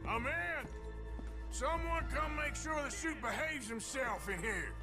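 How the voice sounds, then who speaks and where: Commanding American outlaw, loud music behind. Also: male voice, very high voice, animated, measured pace. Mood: furious, urgent. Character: commanding, seasoned outlaw gunman, cool then ferocious. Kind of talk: game cutscene western drama acting.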